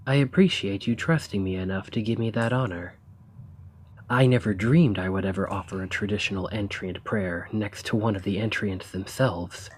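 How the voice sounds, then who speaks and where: Man acting an earnest, low-voiced scholarly researcher. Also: slight background noise, natural voice. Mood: humble, gentle. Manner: earnest, formal, articulate phrasing. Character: earnest, eager male researcher of ancient civilizations, gently sympathetic. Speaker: audio roleplay performer. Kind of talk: ASMR roleplay, scripted character acting.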